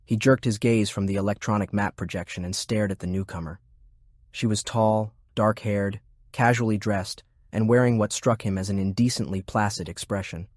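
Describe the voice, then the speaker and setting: Low-voiced man narrating, very dry sound. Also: fairly even intonation, normal speed, a little mellow, no echo. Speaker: audiobook narrator. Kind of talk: scripted narration of fiction.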